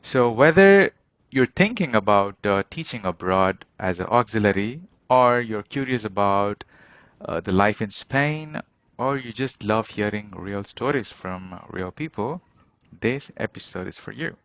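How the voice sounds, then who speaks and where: A man with a very low voice hosts a casual podcast interview, his phrasing friendly and a little non-native. The sound is dry and slightly muffled, with little room in it. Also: lively intonation, measured pace, a bit bright, no echo. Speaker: podcast host.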